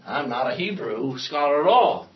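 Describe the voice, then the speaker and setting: A man's voice: medium pitch, big pitch swings, normal speed, dull audio, a little hiss or hum. A man teaching a Bible class in a classroom, joking and thinking aloud as he lectures.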